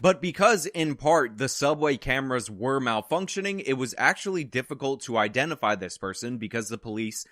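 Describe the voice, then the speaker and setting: A man's voice: mid-range voice, expressive, fairly quick, hardly any pauses, fairly bright tone, no echo, studio quality, some chatter behind. Indignant man giving news commentary. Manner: indignant, emphatic, wordy sentences. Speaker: political commentary YouTuber.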